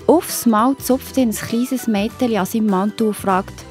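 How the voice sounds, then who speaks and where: A woman's voice: fairly high pitch, unhurried, darkish tone, soft background music. A woman with a Swiss German accent narrating a scripted children's Bible story expressively, speaking directly to young listeners.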